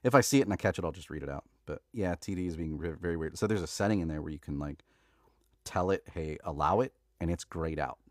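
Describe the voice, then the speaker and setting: A man's voice: deep voice, fast talker, no room sound, clean studio sound. A man with a stuffy nose from allergies who hosts a livestream, chatting casually and then reading a novel aloud. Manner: casual and chatty, laughs often, corrects his own misreadings. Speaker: audiobook narrator and livestream host.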